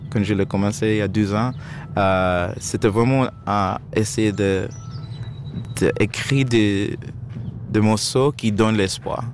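Man, hesitant, low voice. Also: a little hiss or hum. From Zimbabwe. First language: Shona. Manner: hesitant, searching for French words, many restarts. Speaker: musician guest. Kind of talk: interview answers.

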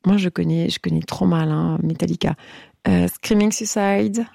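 A woman's voice: fairly low pitch, normal speed, gravelly, dark tone, no room sound, clean studio sound. A woman hosting a late-night radio phone-in, chatting casually with a caller and laughing often as she stumbles over song titles. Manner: playful, laughs often, hesitant reading of titles.

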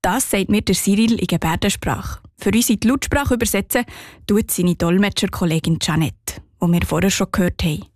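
A woman's voice: medium pitch, brisk, a little mellow, no echo. Swiss German woman reporter, dry studio narration. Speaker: radio reporter.

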